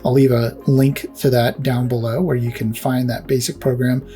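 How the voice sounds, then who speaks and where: Male host for a casual tech repair demo: mid-pitched, explanatory, step-by-step delivery with music underneath. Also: flat delivery, normal speed, warm tone. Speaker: host of a retro computing repair channel. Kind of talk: casual hands-on tech demonstration.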